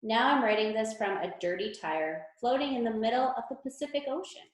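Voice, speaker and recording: A woman's voice: on the high side, lively intonation, brisk, natural voice. Lady doing a fed up sarcastic stuffed animal from a picture book, roomy echo. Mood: resentful. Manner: sardonic, exasperated. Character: Huggie, a grumpy, long-suffering, sarcastic stuffed toy bear. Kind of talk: children's storybook read-aloud. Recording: echoey room.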